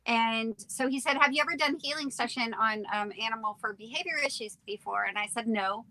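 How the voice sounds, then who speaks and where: A woman's voice: on the high side, fairly quick, pushed and bright, poor audio. A woman interview guest telling a personal story in a casual, conversational way.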